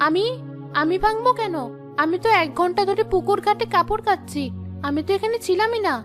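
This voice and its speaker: Woman doing sad wronged wife in a cartoon, really high voice, pleading, music in the back. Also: brisk. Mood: protesting. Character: wronged, long-suffering kind elder wife, pleading. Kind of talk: melodramatic cartoon story dialogue.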